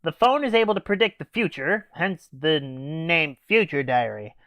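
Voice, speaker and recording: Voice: medium pitch, animated, moderate pace, no echo, muffled recording. A reviewer hosting a scripted comic review, playing the exasperated straight man. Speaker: host, anime reviewer.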